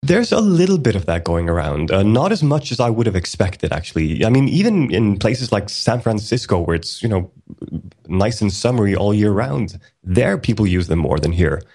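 Low-voiced man explaining with fillers. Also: moderate pace, husky. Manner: relaxed, explanatory, many fillers and restarts. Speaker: podcast co-host. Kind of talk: casual podcast chat.

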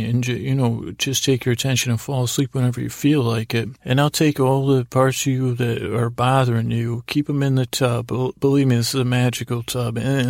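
A middle-aged man with a gravelly, creaky voice hosting a sleep podcast, rambling in a halting, silly bedtime monologue that repeats and trails off mid-thought, close on the microphone. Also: mid-range voice, no echo. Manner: silly, absurd asides.

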